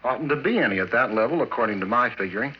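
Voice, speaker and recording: An actor playing a skinny, nervous oil-rig geologist who is scared of the dark, hesitant and faltering in 1940s radio drama style. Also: male voice, medium pitch, expressive, racing, lightly acted. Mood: uneasy, musing. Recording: muffled, noisy old radio recording.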